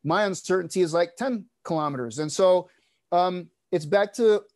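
Higher-voiced man moderating a video panel. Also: normal speed, darkish tone. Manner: thoughtful, many fillers and restarts. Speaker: host of a panel webinar. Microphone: wired earbuds on a video call.

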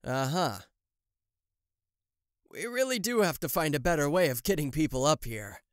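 A man's voice: on the high side, lively intonation, normal speed, no echo, studio quality, natural voice. A man playing a smug, possessive demon boss in an ASMR roleplay, theatrical, slick and falsely polite. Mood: smug, persuasive.

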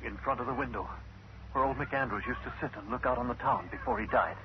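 A man's voice: mid-range voice, fast, close to an everyday voice. An actor playing an uneasy small-town man showing off a haunted house in a 1950s radio drama. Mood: eerie, earnest. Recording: very noisy, muffled old radio recording.

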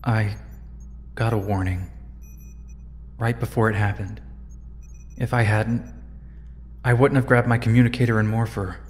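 A man playing a guarded young superhero in an audio drama, halting and defensive in delivery. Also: lowish voice, steady tone, big-room sound, lightly acted. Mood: hesitant.

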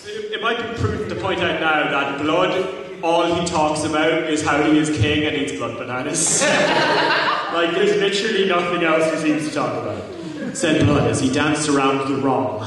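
A fairly high-voiced man reads comic fan fiction aloud to an audience, often cracking up as he goes. He is far from the mic in a very echoey hall with a crowd around. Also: moderate pace. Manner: breaks up laughing while reading. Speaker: convention panel host. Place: large convention panel hall. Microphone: far camera in the audience. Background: audience crowd noise.

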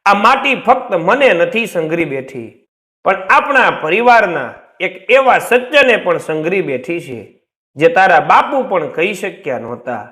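A man voicing a tender, solemn dead village mother speaking to her son in a storybook tale, gently and gravely. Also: sits high, very animated, measured pace, exaggerated voice. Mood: solemn warning. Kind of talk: storybook character voice in narration.

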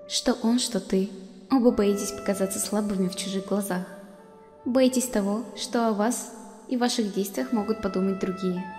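Woman voicing a gentle ghostly mother in long reverb. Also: mid-range voice, close to an everyday voice. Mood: caring, earnest. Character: gentle motherly ghost of a dead skeleton monster. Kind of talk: acted comic dub. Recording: long echoing reverb under music.

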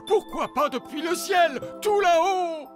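A man voicing an enthusiastic old fairy grandfather with a gravelly voice in a children's cartoon dub. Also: extremely high pitch, a little slow. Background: music.

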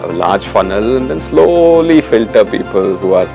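A man, speaking as a faculty member in a documentary interview, measured and reflective with pauses. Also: fairly low pitch, very animated, vocal fry. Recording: music bed under speech.

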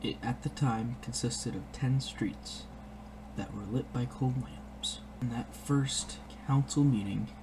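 Slow man narrating over noisy ambience. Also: mid-range voice. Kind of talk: scripted local history narration. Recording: clearly noisy background ambience.

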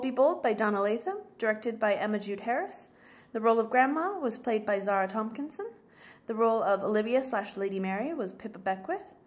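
A woman hosting a podcast with informal, joking chat. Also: a little high. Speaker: podcast host. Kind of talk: casual, bantering podcast chat.

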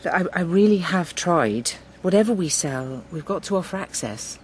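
An actor playing a hesitant, conciliatory man with an English accent in a naturalistic radio drama, halting and tentative. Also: high-pitched, animated, a little hiss or hum. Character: hesitant, conciliatory farming son negotiating with his sister.

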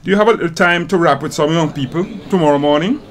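A man plays a Jamaican airline boss in a radio drama. He is brisk and commanding, with a somewhat high-pitched voice. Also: a little hiss or hum, close to an everyday voice.

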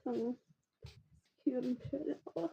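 Young female gamer, high voice, chatty running commentary with excitable spikes. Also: moderate pace, vocal fry, dull audio, slight background noise. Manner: chatty, narrates each step aloud, excitable bursts. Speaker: player narrating her game. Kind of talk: casual gameplay roleplay narration.

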